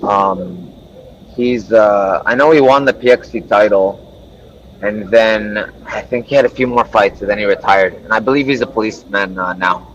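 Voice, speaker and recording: A young man raised in Japan, an MMA fighter, chatting in a relaxed, friendly way with lots of fillers, sore from hard training. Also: fairly low pitch, moderate pace. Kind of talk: casual interview over a call. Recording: dull lo-fi audio with faint noise.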